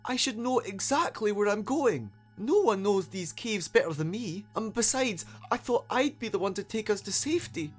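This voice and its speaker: A man's voice: high voice, animated, normal speed, hardly any pauses, faint music behind, voice pushed up. A man voicing an earnest, chatty, easily upset young unicorn in a story, speaking with feeling and some hesitation. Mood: tentative, upset. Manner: stammering, hesitant, emotional.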